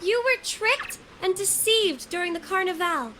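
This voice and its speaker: A woman voicing a gentle, earnest young female acolyte in a video game, speaking formally and courteously. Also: very high voice, lively intonation, a little slow, a little hiss or hum, exaggerated voice. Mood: earnest, apologetic. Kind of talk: anime-style video game voice acting.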